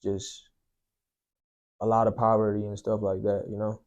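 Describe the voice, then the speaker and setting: A young man from Sri Lanka, a guest telling his life story in a soft, unhurried way, often filling with "you know, man". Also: on the low side, little pitch movement, highs cut off. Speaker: guest sharing testimony. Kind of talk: personal testimony, casual storytelling.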